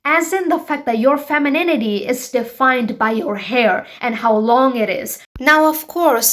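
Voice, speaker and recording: A woman's voice: on the high side, a bit bright. A woman in her twenties from Bangladesh hosting her own show, talking frankly and emphatically to her viewers. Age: 20s. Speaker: show host. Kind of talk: personal vlog monologue.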